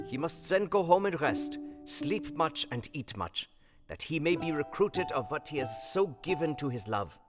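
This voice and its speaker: A man playing an earnest, commanding foreign professor-doctor in a gothic audio drama, speaking quaint, formal English in a deliberate way. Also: a little high, big pitch swings. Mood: kindly instructing. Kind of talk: dramatised audio reading of a Victorian gothic novel. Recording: long hall-like reverb, music underneath.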